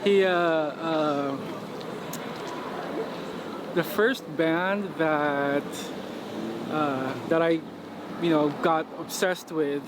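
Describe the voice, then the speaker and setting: A man's voice: on the high side, extremely slow, pauses often. A man from the Philippines, interviewed outdoors on a city street, talking casually with lots of fillers. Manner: relaxed, many fillers like "you know". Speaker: interviewee, musician. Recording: street noise behind.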